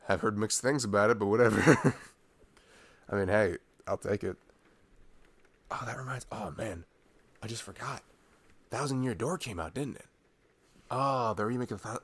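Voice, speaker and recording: A man's voice: mid-range voice, gravelly, a bit bright, no room sound. A man chatting informally and intimately as a boyfriend in an ASMR roleplay, rambling and ranting. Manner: informal ranting, breaking into chuckles and sighs. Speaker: audio roleplay performer playing a boyfriend.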